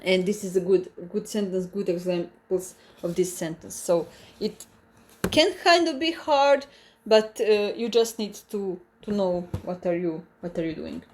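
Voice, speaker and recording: A woman with a Croatian accent teaches a live online language lesson. She talks at an unhurried, deliberate pace, in a voice of middling pitch. She works through each point carefully, restarting phrases and repeating examples. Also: animated, a little mellow, slight background noise.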